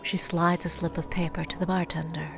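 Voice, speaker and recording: A woman's voice: fairly low pitch, level tone, a little fast, creaky, music in the background. A woman acting as the grave, brooding narrator of an audio drama, reading dramatic scripted narration. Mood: somber, measured.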